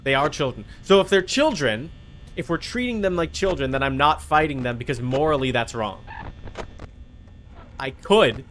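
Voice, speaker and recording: A man's voice: medium pitch, lively intonation, moderate pace, fairly bright tone, a little hiss or hum, low-quality recording. A man chatting casually as he streams a game, with a blunt, sweary, argumentative way of talking. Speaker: livestream host. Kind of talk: casual gaming stream chat while playing a horror game.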